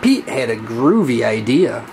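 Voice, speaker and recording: A man reading a children's story aloud in a deliberate, singsong storybook manner. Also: mid-range voice, big pitch swings, vocal fry, noisy background. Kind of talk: scripted children's read-aloud narration.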